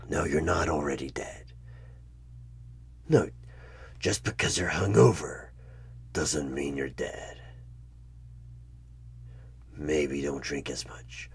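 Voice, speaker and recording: A man playing a sinister evil tempter in a horror ASMR roleplay, coaxing and menacing in a slow, raspy half-whisper as a job interviewer. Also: very deep voice, fairly bright tone, full character voice. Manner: slow, deliberate, persuasive, repeating words for emphasis.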